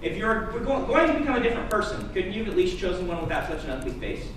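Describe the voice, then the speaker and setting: A man's voice: high-pitched, lively intonation, fast, voice pushed up. A man voicing a cheeky, irreverent sidekick with slangy, teasing talk in a live story reading. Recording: echoey room, far mic, noisy.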